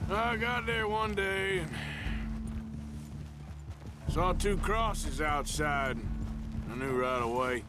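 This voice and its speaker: An actor playing a weary, gravely ill outlaw cowboy in a video game, talking in a gruff, plain-spoken drawl, slow and reflective. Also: male voice, sits high, over music. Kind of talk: video game cutscene dialogue. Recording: loud orchestral game score behind.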